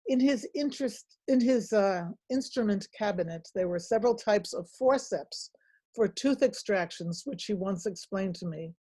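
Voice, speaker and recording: An older woman from the Netherlands, a former professor, speaking at a meeting and reading from a memoir in a measured way with frequent pauses and ums. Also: mid-range voice, lively intonation, warm tone, slightly rough. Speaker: retired sociology professor.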